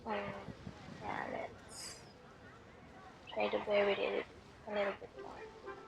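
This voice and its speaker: Slow, gravelly-voiced woman gamer. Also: completely flat delivery, lo-fi sound, crowd noise. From Philippines. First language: Tagalog. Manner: soft, lowered voice, slow, many fillers. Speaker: game streamer. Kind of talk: casual commentary over gameplay. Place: home room late at night. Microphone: headphone mic.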